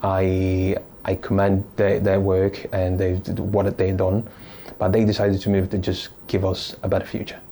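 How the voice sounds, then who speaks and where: Deep, mellow-voiced man from Italy. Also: completely flat delivery, a little hiss or hum. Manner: thoughtful, halting, many restarts and fillers. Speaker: podcast guest. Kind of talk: casual interview storytelling.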